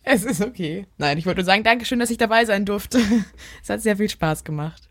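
A woman's voice: medium pitch, animated, a little fast. A woman chatting casually as a guest on a podcast. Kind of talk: casual chat.

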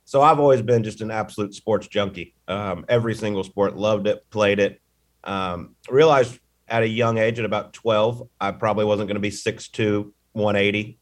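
Texan guy in his 30s chatting easy, lowish voice, lots of ums. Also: fairly even intonation, normal speed. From Texas. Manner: easygoing storyteller with fillers. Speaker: golf broadcaster, podcast guest. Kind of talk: casual interview chat.

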